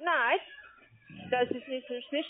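Female foreign-accented telesales agent, high voice, halting slow delivery, tinny phone line. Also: over loud music. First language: not German. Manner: halting, broken phrasing. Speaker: call centre trading agent. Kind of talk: halting sales phone call.

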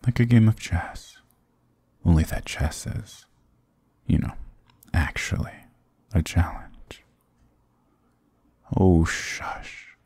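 Man as a very deep, gravelly demon king. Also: dark tone, no echo, full character voice. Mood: smug, then scornful, commanding. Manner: smug, teasing, condescending, drifting into whispers. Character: sarcastic, dominant male demon king, playfully toying with captive. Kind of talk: intimate ASMR audio roleplay.

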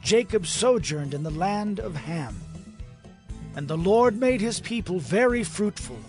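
A man narrating a dramatized Bible reading in an expressive, measured way. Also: sits high. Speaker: scripture narrator. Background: soft music.

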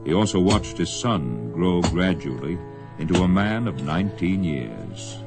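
A solemn western storyteller on a radio drama. His voice is very low and gravelly, moving expressively through the tale over music. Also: very animated, unhurried, heavily acted. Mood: solemn, measured. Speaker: narrator. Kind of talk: radio western scripted narration.

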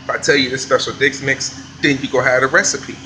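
A man, a chef filming a casual vlog in a commercial kitchen, talking in relaxed slang. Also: mid-range voice, a little fast, a little hiss or hum, poor audio. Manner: laid-back slangy hype talk. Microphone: handheld phone camera.

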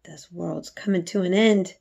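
A woman voicing an anxious, excitable young Yorkshire housemaid from a storybook, exclaiming in dialect. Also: on the low side, a little slow, gravelly, dull audio, slight background noise. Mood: astonished. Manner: agitated dialect speech, exclaiming.

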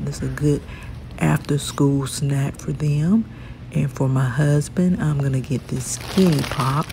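Woman, very low pitch, casual shopping vlog, phone mic, store noise behind. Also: gravelly. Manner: relaxed, chatty, frequent ums. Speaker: vlogger, mother doing grocery shopping. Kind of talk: casual first-person narration while shopping. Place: supermarket aisles, indoors. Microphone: phone camera held while pushing a cart. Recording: noisy store ambience.

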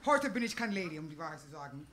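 A woman in her thirties from the United States, a native English speaker with an American accent, doing an animated stand-up routine on a small stage into a handheld mic. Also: sits low, quick pace, noisy background. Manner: brash, animated, with English phrases mixed in. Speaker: stand-up comedian. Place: small laundromat stage before a live audience.

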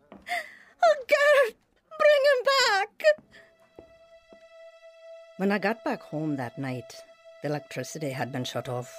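A woman's voice: low-pitched, exaggerated voice. A woman playing a vulnerable, earnest young wife and mother in a radio drama. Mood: distressed. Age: young adult. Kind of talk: radio drama acting and first-person narration.

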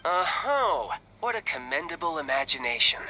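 A man's voice: a little high, big pitch swings, moderate pace, background noise. A man voicing a cocky young warrior in a video game, with a pompous, theatrical delivery. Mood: smug. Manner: pompous, grandiose. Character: cocky young male debt-collecting warrior, smooth and theatrical. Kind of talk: video game cartoon voice acting.

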